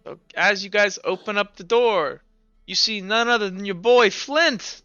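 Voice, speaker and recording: A man narrating as game master, improvising informally in halting descriptions full of filler 'like'. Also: sits high, pushed and bright, clean tone, muffled recording. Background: background music.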